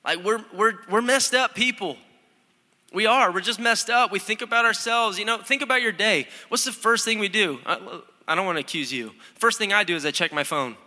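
A young male pastor with a very bright, forward voice, speaking a touch higher than most men. He preaches in a loose, conversational way, stumbling and restarting as he builds energy. The long reverb of a church hall trails behind him. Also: a little fast. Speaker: student pastor. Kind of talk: sermon. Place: church sanctuary.

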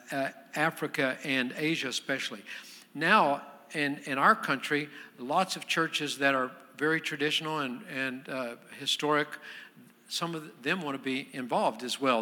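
A man's voice: mid-range voice, sharp tone. A man, a guest pastor, introducing a visiting archbishop in a loose conversational way with frequent restarts. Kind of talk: sermon introduction. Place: church sanctuary. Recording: long church reverb.

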